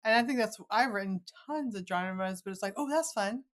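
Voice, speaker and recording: A woman's voice: mid-range voice, a little fast. A woman hosting a casual interview podcast, chatty and enthusiastic. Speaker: podcast host, novelist.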